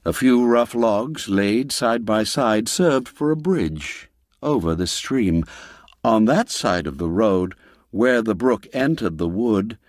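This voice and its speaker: A man narrating classic literary fiction in a dramatic, deliberate and suspenseful storytelling manner. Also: medium pitch, darkish tone, dry recording, studio quality.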